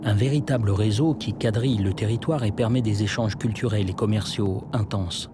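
A man narrating a scripted history documentary in a measured, serious way. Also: on the low side, loud music behind the voice.

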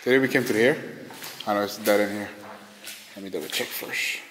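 A man with a fairly low voice narrates his exploration vlog off the cuff. He is informal and rambling, and the handheld recording is very echoey with clear noise around him. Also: fairly quick, fairly bright tone. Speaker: host of a ghost-hunting urban exploration vlog. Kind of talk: casual vlog narration to viewers and companion. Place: inside a large abandoned building. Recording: echoey, noisy handheld camera audio.

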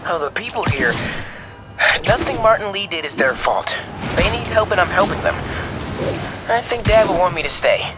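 A man's voice: high voice, expressive, normal speed, long pauses. A young man playing an earnest, resolute teenage boy in a video game drama. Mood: earnest, subdued. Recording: loud background noise.

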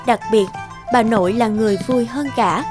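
A woman narrates a cartoon folk tale in a storybook style. Her voice sits fairly high, with music playing clearly behind it. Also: normal speed.

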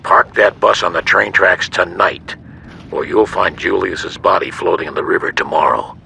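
Gravelly, menacing corrupt police chief. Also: male voice, sits low, fairly even intonation, sharp tone, a little hiss or hum, full character voice. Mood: cold menace. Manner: menacing, measured, bargaining. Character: corrupt, coldly threatening middle-aged male police chief. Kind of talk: scripted video game acting.

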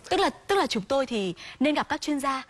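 A woman in her thirties hosting a TV studio interview, asking brisk, polite questions on behalf of parents, on a lapel mic. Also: high-pitched, very animated. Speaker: TV morning show host.

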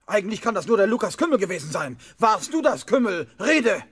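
High-pitched, irritable schoolmaster. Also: male voice, big pitch swings, brisk, fairly bright tone, slightly rough, heavily acted. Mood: outraged. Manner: exclamatory, barking questions and orders. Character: stern, irritable village schoolmaster, scolding his class. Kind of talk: 1970s German children's radio play acting.

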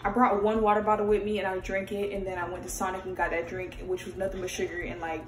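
A woman's voice: mid-range voice, quick pace, a little hiss or hum. A woman in her twenties with an American accent, chatting casually and slangily as a vlogger. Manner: informal, slangy, animated asides. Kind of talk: casual vlog chat. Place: inside a parked car and at home.

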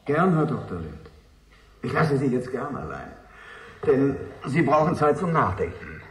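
Slick scheming corporate envoy, radio play, very lively intonation, echoey room, measured and insinuating. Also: male voice, mid-range voice. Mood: politely menacing. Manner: smooth, deliberate, insinuating. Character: calculating corporate envoy offering a sinister bribe. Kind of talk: German radio play acting.

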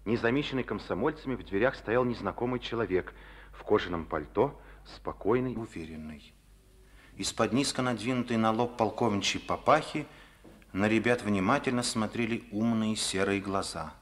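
A man narrating a radio play in a measured storytelling manner. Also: fairly low pitch, fairly bright tone. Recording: old studio radio play recording.

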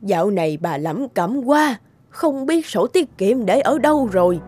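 A woman's voice: on the high side, animated, unhurried. A woman voicing a kindly, forgetful old homeowner in a cartoon, chatty and grandmotherly. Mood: puzzled. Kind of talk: cartoon voice acting. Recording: music behind the voice.

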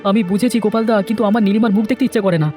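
A lazy, sulky village husband, acted by a man in a children's cartoon. His voice is pushed high and whiny, and he talks quickly and evasively. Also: fairly even intonation, mellow, faint music behind, lightly acted. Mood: sulky, aggrieved.

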